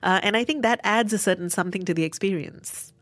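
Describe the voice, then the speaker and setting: A woman's voice: medium pitch, fast talker, fairly bright tone, no echo. A woman talking through her thoughts on a stage play in a casual review chat, thoughtful and articulate. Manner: thoughtful, articulate, searching for words. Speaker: theatre reviewer.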